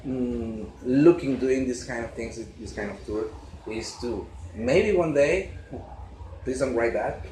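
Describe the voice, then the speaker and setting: A man around thirty with a Mexican Spanish accent, a bassist speaking earnestly and haltingly as he searches for English words. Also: a little mellow. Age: 30s. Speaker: bassist in a touring band. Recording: echoey room with crowd noise.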